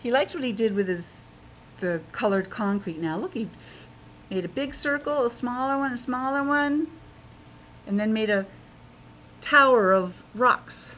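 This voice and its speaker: Woman, teacher-style storyteller, higher-pitched and very lively, explanatory asides, slight background noise. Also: unhurried, pauses often. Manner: expressive, explanatory, encouraging. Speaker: schoolteacher reading a picture book aloud to children. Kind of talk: read-aloud storytelling with simple asides explaining the pictures.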